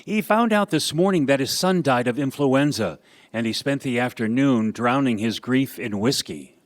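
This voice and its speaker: A man playing a calm, authoritative senior angel with a measured, kindly manner in a radio play. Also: mid-range voice, no room sound, natural voice. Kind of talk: live radio play acting.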